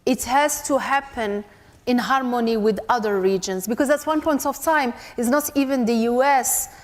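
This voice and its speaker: A woman's voice: fairly high pitch, moderate pace. A woman from Morocco speaking on a panel, laying out her points as a numbered list. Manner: lays out points in numbered lists. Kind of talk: panel discussion. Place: conference hall. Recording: echoey conference hall.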